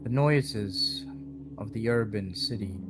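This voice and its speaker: A man's voice: lowish voice, slow pace, heavy background noise. A man narrating a role-playing scene as game master in an atmospheric storytelling style. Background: guitar music.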